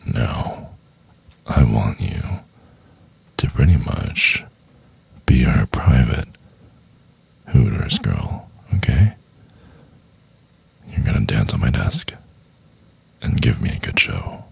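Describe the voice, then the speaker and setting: A man playing a domineering, seductive boss in a soft-spoken, intimate ASMR roleplay, with a deep, breathy, gravelly voice and drawn-out delivery. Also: sing-song, heavily acted. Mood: smug, mocking. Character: domineering, seductive big male boss, cruel then doting.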